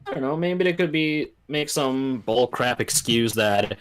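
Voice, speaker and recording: A man's voice: medium pitch, lively intonation, measured pace. A man chatting casually as a guest on a podcast. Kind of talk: casual chat.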